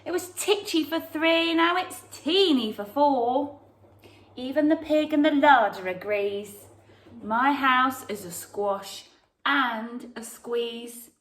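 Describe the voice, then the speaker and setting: A woman voicing a flustered, dramatic little old lady from a children's picture book, wailing and pleading with drawn-out exclamations. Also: sits high, fairly bright tone. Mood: dramatic distress. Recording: roomy, echoey sound.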